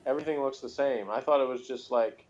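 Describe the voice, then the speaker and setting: Man, medium pitch, casual chat, co-host, muffled lo-fi recording. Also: fast talker, a little mellow. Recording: dull, muffled lo-fi sound.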